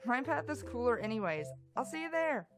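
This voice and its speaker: An adventurous, pushy young pony voiced by a woman for a cartoon. The voice sits high and sounds eager and brash. Music plays clearly underneath. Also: big pitch swings, a little fast. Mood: mildly miffed. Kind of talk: animated fan drama voice acting.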